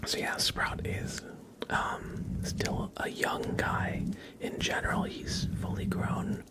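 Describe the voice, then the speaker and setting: A man hosting an ASMR livestream, chatting casually and gently with viewers in a soft, breathy, mostly whispered voice. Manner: soft-spoken, gentle, unhurried.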